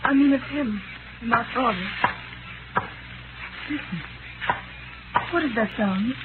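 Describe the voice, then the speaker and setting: A hissing, narrow-band 1930s radio recording of an anxious young gentlewoman. Her voice is high and tremulous. She speaks with earnest devotion in vintage dramatic style. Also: takes it slowly. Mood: fearful, tender.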